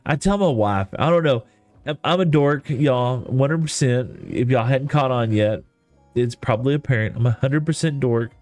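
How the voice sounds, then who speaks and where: A man's voice: mid-range voice, moderate pace. A man from East Texas with a Texan accent, chatting casually and rambling in a folksy, self-deprecating way while livestreaming a game. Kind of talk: casual gaming stream chat. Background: soft lofi music.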